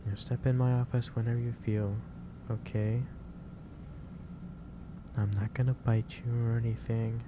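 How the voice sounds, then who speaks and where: A man playing a gentle, caring office boss in a soft-spoken ASMR roleplay, talking in a halting, reassuring way. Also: medium pitch, flat delivery, close to an everyday voice. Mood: patient, caring. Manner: halting, reassuring, unhurried delivery. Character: gentle, caring male office boss lecturing an overworked employee. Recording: clearly noisy background ambience.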